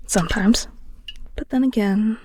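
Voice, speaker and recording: A woman playing a wistful, lovelorn inner narrator in an audio drama, speaking softly and breathily in measured, poetic second-person asides. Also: mid-range voice, no room sound, clean studio sound. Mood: wistful, yearning. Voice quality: breathy, whispery, creaky. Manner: hushed, measured, poetic, wry. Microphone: close studio-style mic, dry.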